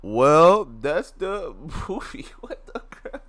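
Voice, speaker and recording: A man's voice: a little high, big pitch swings, slow, a bit bright. A man giving a casual, rambling gaming reaction, full of slang and repeating himself as he goes. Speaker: gaming YouTuber reacting to a video. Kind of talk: casual live reaction commentary.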